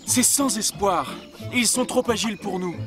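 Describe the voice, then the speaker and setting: A woman's voice: medium pitch, moderate pace, loud music behind the voice, close to an everyday voice. A woman voicing a doubtful, grumbling sidekick in a French cartoon dub. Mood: discouraged, grumbling.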